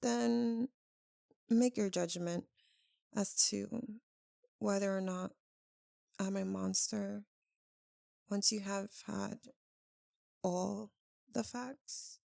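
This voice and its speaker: A melancholy female vampire lover, played by a woman for an ASMR roleplay, speaks extremely slowly in a creaky voice. She is tender and tormented, hesitating with long, heavy pauses. The sound is close and very dry, as if confided to one listener. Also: mid-range voice, fairly even intonation, warm tone, no echo, heavily acted. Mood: hesitant, troubled. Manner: hesitant, halting, earnest, with long dramatic pauses. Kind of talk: slow, intimate ASMR roleplay.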